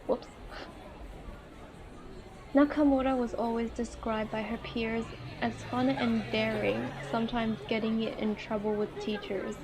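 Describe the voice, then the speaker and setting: A woman casually commentating on a game and reading its text aloud, with expressive reactions. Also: a little high, unhurried, low-quality recording. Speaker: gaming video host. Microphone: earphone mic.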